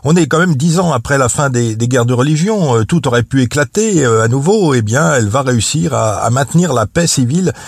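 A man of middle pitch speaks as a history expert in a radio interview. He explains carefully, stopping often to search for words. His voice sounds dry and close, with no room echo. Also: expressive, gravelly, husky. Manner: measured, hesitant explanations with frequent pauses. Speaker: historian and journalist guest.

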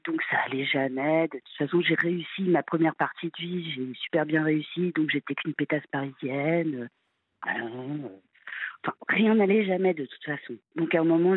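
A woman in her fifties from France calling a late-night radio show, halting and hesitant with many fillers, heard over a phone line. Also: deep voice, breathy edge, dry recording. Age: 50s. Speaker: caller.

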